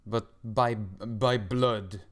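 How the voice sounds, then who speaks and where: A man's voice: fairly low pitch, steady tone, extremely slow. A man in his twenties from Sweden, chatting casually as a podcast guest with dry, self-mocking irony. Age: 20s.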